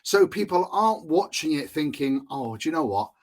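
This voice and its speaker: A man's voice: fairly high pitch, lively intonation, normal speed. Chatty Welsh man hosting a podcast. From Wales. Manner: chatty, self-amused, laughs often, many fillers and restarts. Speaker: podcast host, marketing consultant. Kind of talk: solo podcast talk.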